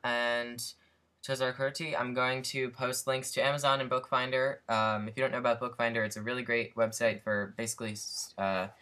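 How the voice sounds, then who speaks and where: A young man talks through a book review at home, loose and rambling, forever restarting his sentences. His voice is bright and forward, with a middle pitch. A built-in computer mic at his desk picks him up. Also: flat delivery, normal speed. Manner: chatty, rambling with many fillers and restarts. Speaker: language learning vlogger reviewing a book. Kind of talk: casual unscripted review. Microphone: built-in computer webcam mic.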